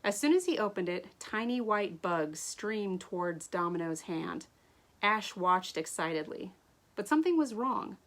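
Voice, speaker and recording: A woman author reading her own story aloud in an animated way, now and then stumbling and rereading. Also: mid-range voice, measured pace. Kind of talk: expressive read-aloud storytelling.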